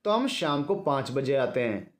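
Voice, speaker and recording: A man's voice: medium pitch, very animated, moderate pace, lightly acted. A man voicing a caring, respectful young man who helps his elders in an audio story. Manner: respectful, earnest.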